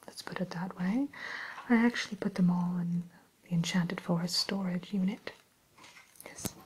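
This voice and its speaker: A woman's voice: fairly low pitch, slight background noise, close to an everyday voice, rough recording. A woman playing a refined, sly evil queen shopkeeper in a whispered ASMR roleplay, with coy, hesitant, genteel sales talk. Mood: coy, wry. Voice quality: breathy, soft whisper. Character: refined, sly evil queen running an enchanted mirror shop.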